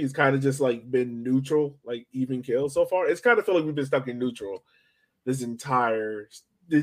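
A man hosting a sports podcast in a casual, chatty way, often rambling, hedging and repeating himself as he goes. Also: mid-range voice.

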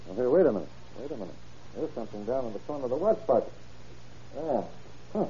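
A methodical middle-aged country sheriff in a radio crime drama. His voice is rough-edged and highly inflected, with plain, folksy phrasing. The sound is the dulled one of a vintage broadcast. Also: big pitch swings, warm tone, muffled recording. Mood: methodical, pondering. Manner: folksy, plain-spoken, deliberate. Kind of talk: old-time radio crime drama acting.

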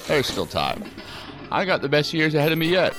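A man's voice: a little high, very animated, fairly quick, music in the background, low-quality recording. An actor playing a wry, flirtatious federal agent in his seventies in an audio drama, with playful, theatrical delivery. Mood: playful flirting. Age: 70s. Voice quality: creaky.

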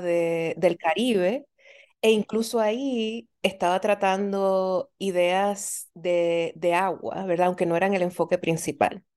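Woman, mellow warm tone, reflective interview guest, trailing questioning tags. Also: mid-range voice, clean tone. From Puerto Rico. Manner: reflective, often ends thoughts with a questioning tag. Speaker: guest author, professor. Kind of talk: thoughtful interview answers.

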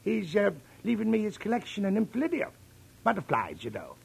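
A man playing a feisty, eccentric, folksy man in his seventies in a 1940s radio drama, with a raspy, creaky voice. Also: sits high, wildly swooping pitch, a little fast, heavily acted. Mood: chatty, eager. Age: 70s. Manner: folksy, brash, chatty. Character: feisty old man in a wheelchair, eccentric butterfly collector. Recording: vintage 1940s radio recording.

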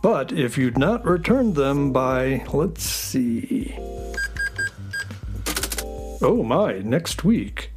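Guy doing a friendly helpful space horse, kids audio story, super swoopy and slow drawn out, folksy. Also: mid-range voice, very animated, a little mellow, faint music behind. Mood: reminiscing. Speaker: voice actor. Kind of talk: children's audio story character acting.

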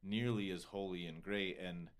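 A man's voice: low voice, fairly even intonation, moderate pace. An American man hosting a casual podcast conversation, rambling and digressive, full of filler words and swearing. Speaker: podcast host.

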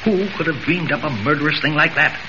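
An actor playing a gruff, sputtering middle-aged newspaper editor in a 1940s radio serial, demanding and repeating his words. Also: a little high, a little fast, lightly acted. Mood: alarmed. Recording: noisy, muffled vintage radio recording.

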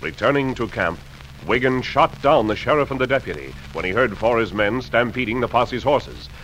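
A man's voice: mid-range voice, expressive, normal speed, fairly bright tone, a little hiss or hum. Dramatic vintage radio announcer, American man. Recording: muffled old radio broadcast. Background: music.

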